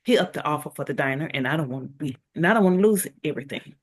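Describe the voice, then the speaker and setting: A woman's voice: low-pitched, expressive, very fast. An actress reading a worried, conflicted diner owner in her forties in a holiday romance table read.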